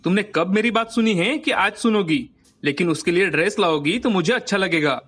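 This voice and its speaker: A man's voice: high voice, expressive, normal speed, fairly bright tone, husky, faint music behind, exaggerated voice. An actor playing a mild, resigned husband and father in a moral story, earnest and patient.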